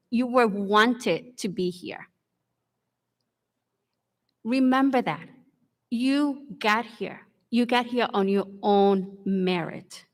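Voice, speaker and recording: A woman in her forties, from the Dominican Republic, giving an earnest convocation speech with measured, deliberate pauses. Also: on the high side, a bit bright. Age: 40s. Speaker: alumni speaker. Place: large hall. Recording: long hall reverb.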